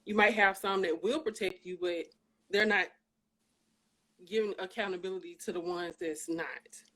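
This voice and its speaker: A woman calling in to a discussion, giving an earnest, casual opinion in a deliberate, emphatic way. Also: lowish voice, steady tone, fairly bright tone. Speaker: caller on a discussion show.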